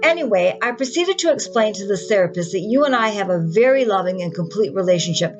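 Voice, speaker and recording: A woman's voice: mid-range voice, animated, quick pace, lo-fi sound, over music, close to an everyday voice. A woman playing an indignant, defensive, chatty woman who vents with sarcasm in a dramatic screenplay monologue. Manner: sarcastic, exasperated, conversational venting.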